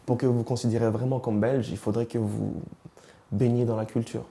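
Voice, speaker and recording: A man's voice: medium pitch, level tone, a little fast, gravelly, warm tone. A man in his twenties from Rwanda, answering interview questions hesitantly and searching for words. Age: 20s. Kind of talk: personal interview, switching languages.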